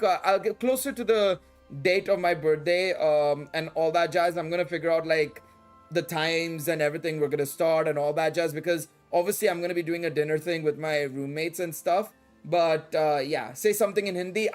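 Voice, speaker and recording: Chatty guy streamer, kinda high voice, indian english accent w british and american bits, music behind him. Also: moderate pace. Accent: shifting Indian English with British and American touches. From Dubai and India. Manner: rambling, slangy, chatty. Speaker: live streamer. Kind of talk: casual livestream chat during gameplay.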